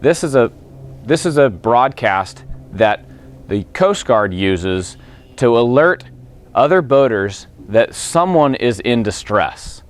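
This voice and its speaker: A man's voice: medium pitch, expressive, slow pace. A man speaking as a Coast Guard officer, explaining safety procedures to boaters in a plain-spoken, official manner. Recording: clear background noise, ambient sound.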